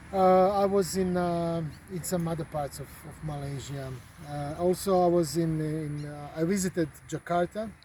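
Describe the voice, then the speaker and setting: A man speaking English, talking casually about his travels and pausing often on fillers and repeated words. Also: fairly high pitch, warm tone, slight background noise. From Croatia. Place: outdoors at a golf resort.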